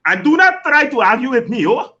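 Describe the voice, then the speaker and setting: A man's voice: very high voice, big pitch swings, brisk, bright, forceful tone, muffled recording, voice pushed up, heavily acted. A man voicing an insistent, teasing speaker with a put-on Nigerian accent, ending lines with "oh".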